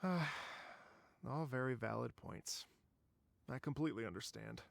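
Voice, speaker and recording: An actor playing a suave, courteous young man in a video game, polished and agreeable, with a gravelly voice. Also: medium pitch, slow pace, slight background noise. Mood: resigned agreement.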